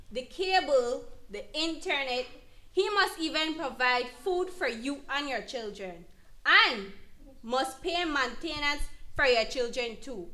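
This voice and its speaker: A woman's voice: sits high, sharp tone, exaggerated voice. A woman playing an earnest, emphatic advocate who counsels an abused mother in an educational dramatization. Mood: earnest, firm. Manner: emphatic, instructive. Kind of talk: educational dramatization, deliberate explanatory delivery.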